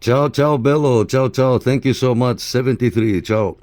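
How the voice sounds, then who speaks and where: A man's voice: medium pitch, normal speed. Casual male CB radio operator, noisy background. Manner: informal, repeats radio call phrases. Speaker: CB radio operator and YouTube channel host. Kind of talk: casual radio contact and chat to viewers. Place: indoors in a room at a radio set. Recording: clear background noise and radio clicks.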